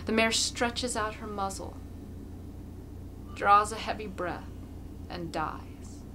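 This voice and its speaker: A woman's voice: medium pitch, slow, noisy background. A woman amateur reader giving an intense, theatrical narration of a classic novel.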